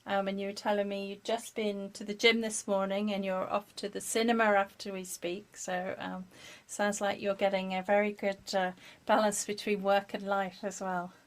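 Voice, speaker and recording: A woman's voice: medium pitch, flat delivery, moderate pace. A woman hosting an interview podcast, introducing and questioning a guest in a conversational way. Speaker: podcast host.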